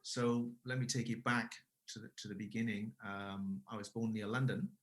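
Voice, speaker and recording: A man's voice: fairly low pitch, fairly even intonation, moderate pace, fairly bright tone. A man in his forties from Wales, a guest telling his career story casually, with frequent restarts and self-corrections. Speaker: guest, English school owner.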